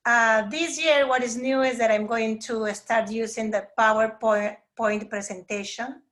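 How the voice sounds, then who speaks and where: A woman with a Peruvian Spanish accent speaks English as a second language in a fairly high voice. She is eager and sometimes searches for words. The sound comes through a video call. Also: steady tone, unhurried, a bit bright. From Peru. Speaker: Spanish teacher. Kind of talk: school open house presentation.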